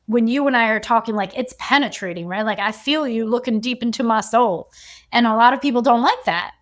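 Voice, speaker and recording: A woman human design coach talking as a podcast guest, chatty and relaxed, and she often says "like.". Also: a little high, fairly quick. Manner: chatty, fills her speech with "like". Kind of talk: casual podcast conversation.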